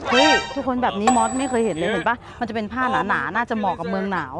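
A young woman vlogging casually at an outdoor motorcycle swap meet, chatty and excited, talking into a handheld camera. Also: medium pitch, quick pace, over loud music. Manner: chatty, excited, switching between Thai and English. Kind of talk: casual vlog chat.

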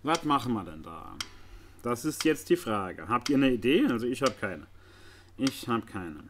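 Animated man commentating on a game, noisy recording. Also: medium pitch, moderate pace. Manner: thinking aloud, talking to the viewers. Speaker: gaming video host. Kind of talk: casual game playthrough commentary.

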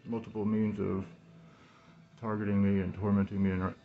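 A man in his thirties in a rambling, halting monologue, repeating words and phrases, recorded on a phone indoors through a cloth mask. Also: lowish voice, flat delivery, phone-quality sound, faint music behind. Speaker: vlogger talking to camera. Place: small room indoors. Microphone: phone at arm's length, speaking through cloth mask.

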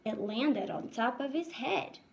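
A woman in her thirties, a first-grade teacher reading aloud to young children and asking them questions in an animated, instructive way. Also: on the high side, measured pace, creaky. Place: indoors in a home room.

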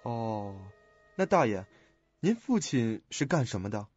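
A male actor plays a courteous, curious painter in a radio drama. His husky voice swings expressively and sounds easily impressed. The recording is dry and a bit muffled. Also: medium pitch, sing-song, a little slow, darkish tone, soft background music. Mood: admiring.

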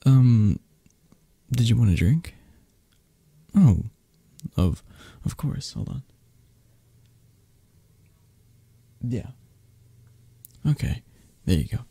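A man acts out a possessive, flirty mafia boss in an intimate ASMR roleplay. His voice is very dark and mellow, breathy and husky, with a gravelly creak. He murmurs soft reassurance to his lover, doting and protective. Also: mid-range voice, lively intonation, very slow, pauses often, no room sound, heavily acted. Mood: gentle, caring.

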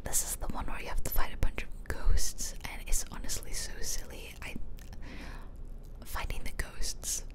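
A woman giving playful, chatty ASMR gameplay commentary in a whisper, close to the microphone. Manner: playful, chatty, self-amused. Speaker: game streamer and ASMR host. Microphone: close ear-to-ear microphone.

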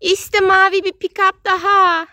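A woman's voice: very high-pitched, level tone, measured pace, pushed and bright, no room sound. A woman narrating play for a small child in short, simple, sing-song exclamations, recorded outdoors. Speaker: children's video host playing with a child. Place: outdoors on grass in a garden.

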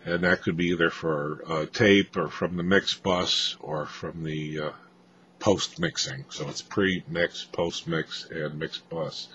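A man giving an off-the-cuff technical walkthrough of equipment, explaining haltingly with frequent hesitations, as a hobbyist presenter. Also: rumbling low, steady tone, fairly bright tone. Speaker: amateur radio hobbyist presenter. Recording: dull lo-fi audio, faint background noise.

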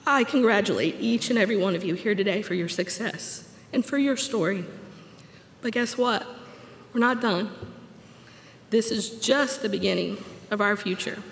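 A woman's voice: mid-range voice, normal speed, vocal fry, pauses often, slight background noise. A woman in her forties giving a graduate's commencement speech at a podium, earnest and personal with touches of humor. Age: 40s. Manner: earnest, personal, read from notes with light humor. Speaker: graduate speaker. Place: large auditorium stage at a podium. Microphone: podium microphone. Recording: echoey hall with long reverb.

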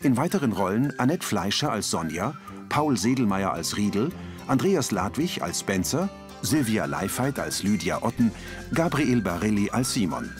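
A man's voice: fairly low pitch, big pitch swings, moderate pace, over music. A man reading scripted audio description of a television drama as its narrator.

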